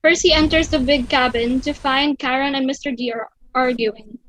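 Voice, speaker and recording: A woman's voice: high voice, steady tone, moderate pace, fairly bright tone, rough recording. A woman narrator reading out stage directions in scripted narration for a theatrical table read.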